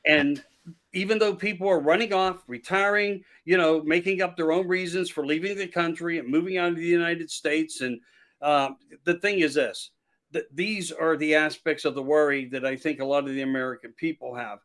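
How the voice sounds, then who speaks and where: A man's voice: fairly high pitch, fairly even intonation, normal speed, fairly bright tone. A man from Pennsylvania, a podcast host appearing as a guest, talking politics in a rambling, emphatic way and repeating his key phrases. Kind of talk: casual political chat.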